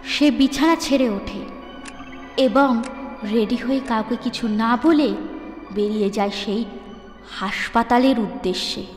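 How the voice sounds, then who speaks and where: A woman storyteller narrating a ghost story dramatically, with deliberate, suspenseful phrasing and pauses. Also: a little high. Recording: echoey long reverb, music underneath.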